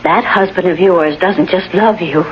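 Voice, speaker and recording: An actress playing a protective, no-nonsense caregiver in a radio drama, firm and reassuring in manner. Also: female voice, fairly low pitch, lightly acted. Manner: firm, reassuring, brisk dismissals. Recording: muffled, hissy old radio broadcast.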